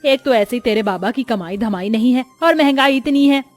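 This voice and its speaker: Woman as a high-pitched nagging village mother. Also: moderate pace, lightly acted. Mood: scolding. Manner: nagging, exasperated. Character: scolding, sharp-tongued poor village mother. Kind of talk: Hindi cartoon moral story voice.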